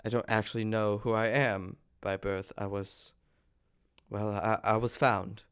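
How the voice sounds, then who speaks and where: Guy doing a nervous young gentleman, smooth lowish voice, formal and hesitant, comedy table read. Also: level tone, unhurried. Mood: awkward, confessing. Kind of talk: amateur table reading of a stage comedy.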